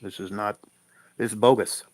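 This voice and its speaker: Low-voiced man, blunt and emphatic. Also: steady tone, moderate pace, darkish tone, dry recording. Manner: blunt, emphatic, laughs through his points. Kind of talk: casual livestream chat.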